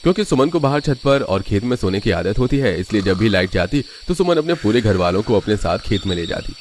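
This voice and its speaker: A man's voice: on the low side, sing-song, a little fast, darkish tone, slight background noise. A man reading scripted narration for a cartoon family story as the storyteller.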